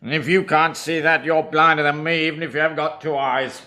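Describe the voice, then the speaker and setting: An actor playing a drunk, despairing, blind British pub landlord, slurred and rambling, in a radio drama. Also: male voice, fairly high pitch, fairly even intonation, a little fast, bright, forceful tone.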